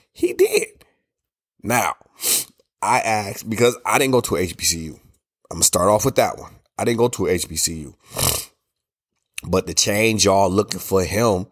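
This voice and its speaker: A low-pitched American man talks solo on his podcast. His voice is congested and rough from a cold. He speaks in a loose, colloquial way, laying out opinions with emphatic repetition. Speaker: podcast host. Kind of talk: casual solo podcast talk, opinionated commentary.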